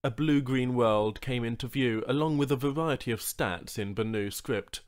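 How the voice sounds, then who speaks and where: A man's voice: medium pitch, normal speed. A man narrating a scripted short story as an audiobook reader.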